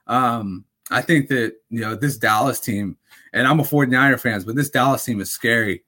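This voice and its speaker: A man's voice: mid-range voice, moderate pace, bright, forceful tone. Rambling man talking fantasy football. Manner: rambling, full of fillers and restarts. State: slightly raspy voice. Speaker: fantasy football podcast host. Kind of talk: casual sports talk.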